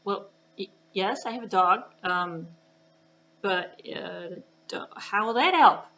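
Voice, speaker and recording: A woman voicing an exasperated male farmer in a puppet show, whiny and hesitant, with drawn-out complaints and flustered stammering. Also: medium pitch, creaky, sharp tone, lo-fi sound, pitched below the performer's own voice, heavily acted. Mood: flustered, doubtful.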